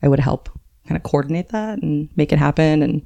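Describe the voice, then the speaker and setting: A woman's voice: low voice, fairly quick, vocal fry, mellow. A young woman, a comedy producer, telling stories in a laid-back, rambling way. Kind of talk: casual interview chat.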